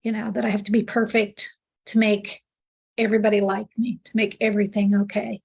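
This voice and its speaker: A woman's voice: medium pitch, level tone, brisk, vocal fry, darkish tone, husky, highs cut off. A woman in her sixties, a client in an emotional tapping session, talking candidly and repeating affirmations back to her practitioner. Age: 60s. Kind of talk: echoing affirmations after the practitioner.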